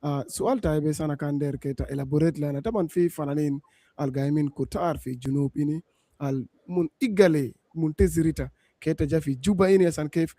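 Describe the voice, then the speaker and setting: Radio host, warm slightly high voice, dry mic, halting. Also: male voice, no echo. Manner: mixes languages, hesitant with many "uh"s. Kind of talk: radio interview question.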